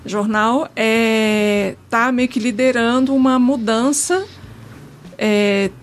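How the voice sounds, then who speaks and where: A woman's voice: fairly high pitch, steady tone, slow, slight background noise. Portuguese-accented woman podcast host. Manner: frequent affirming "uhum" and chiming in with words. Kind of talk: casual podcast chat.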